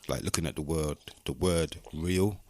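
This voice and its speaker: A man with an exceptionally deep voice and a Birmingham accent speaks as a guest in a casual interview. His talk is reflective and slangy, captured in a dry, close sound. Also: normal speed, dry recording. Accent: Birmingham English. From Birmingham, England. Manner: reflective, philosophical, uses slang and tag questions like "you know what I mean".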